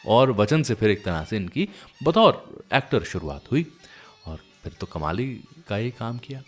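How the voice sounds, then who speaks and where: Male radio host, low voice, conversational storyteller, chatty and anecdotal, with faint music underneath. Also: very animated, unhurried. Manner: chatty, anecdotal, addresses listeners directly. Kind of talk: conversational storytelling presentation of classic film songs.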